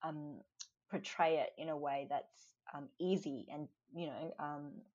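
Woman, pretty low voice, lots of ums, kinda muffled audio. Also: fairly even intonation, measured pace, a little mellow. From Australia. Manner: hesitant, many ums, laughs often. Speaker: guest. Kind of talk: casual interview conversation.

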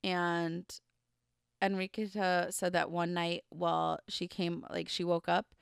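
Gravelly young woman telling a true crime story. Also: on the low side, completely flat delivery, slow pace, no room sound, clean studio sound. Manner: chatty, full of "like" and "um" fillers. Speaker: podcast co-host.

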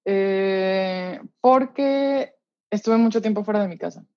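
A young woman with a Mexican accent talking casually and chattily in an interview, using relaxed slang as she shares personal stories. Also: medium pitch, takes it slowly, dry recording, highs cut off. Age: young adult. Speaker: interview guest, orchestral musician. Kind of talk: casual conversation, personal anecdotes.

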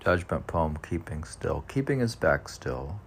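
A man talking casually and haltingly, musing and muttering to himself as he reads aloud. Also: rumbling low, very animated, creaky, a little hiss or hum. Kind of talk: casual rambling talk while reading poems aloud.

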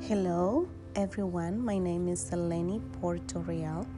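A woman's voice: on the low side, steady tone, measured pace. A woman from the Dominican Republic, an English student, introducing herself hesitantly and searching for words. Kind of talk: self-introduction with fillers. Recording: guitar music behind.

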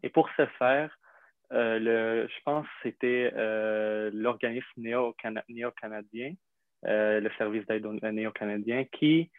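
A young man from Syria, a native Arabic speaker, telling his story in an interview with many pauses, fillers and restarts. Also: on the low side, steady tone, no echo. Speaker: interview guest. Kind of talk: hesitant conversational interview. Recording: dry tinny phone-like line.

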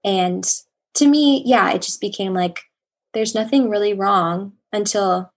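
Woman, young adult, podcast guest, calm soothing voice, casual reflective talk. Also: mid-range voice, unhurried. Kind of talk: casual conversational interview.

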